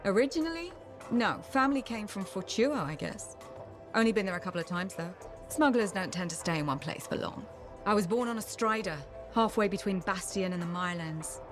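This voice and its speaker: An actress playing a tough, streetwise bar owner in a video game, brusque and wry with a no-nonsense manner. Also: female voice, mid-range voice, expressive, a little fast, over music, natural voice. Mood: relaxed, reflective. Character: tough, streetwise female frontier bar owner, secretly soft. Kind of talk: video game dialogue acting.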